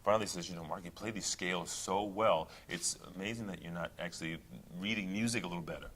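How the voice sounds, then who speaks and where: A middle-aged male opera singer talking in a relaxed interview, thoughtful, with many fillers and restarts. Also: low-pitched, fast talker, a little hiss or hum. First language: English. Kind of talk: casual interview talk.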